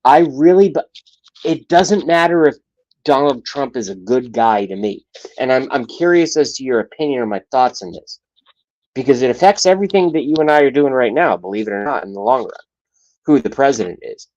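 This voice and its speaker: A man in his late thirties holds court on a casual livestream. His middle-pitched voice rambles from one thought to the next, loaded with profanity. His loudness jumps up and drops away as he talks. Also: expressive, fairly quick, highs cut off. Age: 30s. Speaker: livestream show host.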